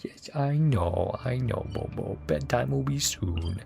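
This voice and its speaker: A man's voice: mid-range voice, animated, slow, creaky, a little mellow, slight background noise. A man streaming gameplay, chatting casually and playfully with muttered asides and short exclamations. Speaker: game streamer reacting to a story quest. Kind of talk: casual gameplay reaction and reading game text aloud.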